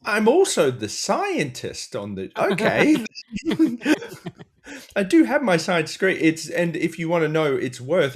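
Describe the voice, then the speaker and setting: A man on a comedy podcast panel, riffing satirically in a rambling, mock-earnest way. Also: on the high side.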